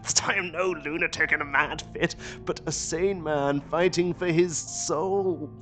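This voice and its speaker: An actor playing a desperate, fervent asylum patient in an audio drama, pleading theatrically with well-bred diction. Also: male voice, on the high side, fairly even intonation, a little slow, fairly bright tone, faint music behind. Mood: desperate urgency. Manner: theatrical, fervent, swinging from whispers to cries.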